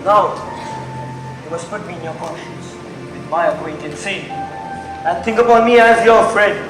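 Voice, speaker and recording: A scheming, theatrical king acted by a man declaims slowly in Shakespearean style. The voice is high and comes from off in an echoey hall, with music behind. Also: recorded from a distance. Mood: persuasive. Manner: declamatory, slow. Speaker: student actor playing Claudius. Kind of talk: stage Shakespeare acting.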